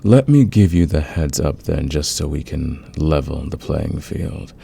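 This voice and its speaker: Smitten fantasy villain played by a man: extremely low, husky voice, unhurried, teasing and dominant, for intimate ASMR romance. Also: lively intonation, mellow, heavily acted. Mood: confessional, seductive. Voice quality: gravelly, husky. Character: smitten male fantasy villain, patient, dominant, smoothly seductive. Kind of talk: romantic ASMR audio roleplay.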